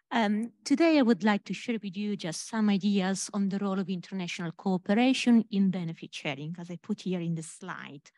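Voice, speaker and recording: Woman, italian accent, measured lecture on a stage, dry close sound. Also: mid-range voice, a little mellow, dry recording. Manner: measured, careful, with hums between points. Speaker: panel speaker, legal scholar. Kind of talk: conference presentation. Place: conference stage, large hall.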